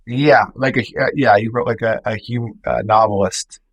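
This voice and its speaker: Husky-voiced male podcast host. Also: medium pitch, fairly even intonation, lo-fi sound. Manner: halting, restarts often. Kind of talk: casual interview chat.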